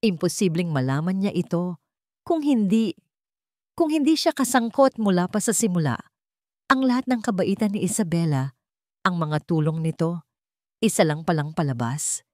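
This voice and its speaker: A woman's voice: lowish voice, expressive, normal speed, pauses often, darkish tone, dry recording, clean studio sound. A woman reading scripted narration for a dramatic story in the manner of a storyteller.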